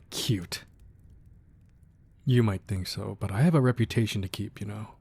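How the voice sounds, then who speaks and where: A low, mellow-voiced crime boss acted by a man, husky and intimate. He is a protective, devoted husband murmuring softly to his wife in a romantic ASMR roleplay. The tone is gruff at the edges but affectionate. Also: measured pace, no room sound, exaggerated voice. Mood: playful, tender.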